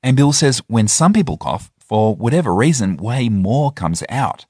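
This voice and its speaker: A man's voice: mid-range voice, sing-song, moderate pace, darkish tone, slightly rough, no echo, clean studio sound. A man narrating a news report in a scripted way, linking interview clips.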